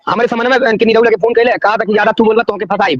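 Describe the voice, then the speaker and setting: Fast high-pitched Bhojpuri man on phone. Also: steady tone, no echo. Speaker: person taking a phone call, offering support. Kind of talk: casual phone conversation. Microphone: phone call. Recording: dull, lo-fi recorded phone call.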